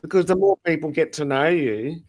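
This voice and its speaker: A man's voice: a little high, brisk, darkish tone. A man chatting casually and reassuringly on a late-night stream, swearing now and then.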